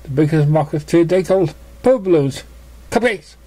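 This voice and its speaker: A man reading scripted story narration, somewhat haltingly, stumbling over words now and then. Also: on the high side, mellow. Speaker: story reader.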